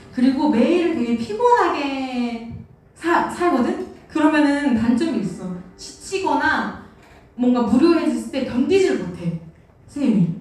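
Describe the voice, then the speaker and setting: A woman's voice: fairly high pitch, a little mellow. A young woman leading youth church worship in a casual, playful, self-deprecating way, with a sore throat. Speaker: youth church praise leader and teacher. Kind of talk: casual talk to teenagers between worship songs. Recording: very echoey room, distant mic, faint music.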